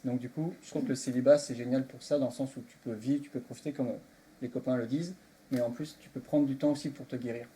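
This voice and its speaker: A man's voice: medium pitch, fairly even intonation, fast, dark tone. A man of thirty-five from Belgium, sharing his view casually as a guest in a group discussion. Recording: faintly noisy room recording.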